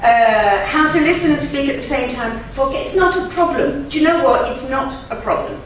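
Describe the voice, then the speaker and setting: A woman whose first language is German, a conference interpreter giving an emphatic, chatty lecture to a live audience with lively asides. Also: high-pitched, normal speed. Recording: very echoey hall, from far off, faint noise.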